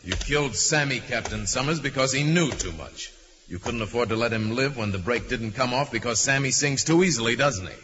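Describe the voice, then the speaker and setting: A man playing a calm, shrewd crime-solving hero with a measured, commanding manner in 1940s radio drama. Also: medium pitch, natural voice. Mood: coolly challenging. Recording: muffled, faintly noisy vintage radio.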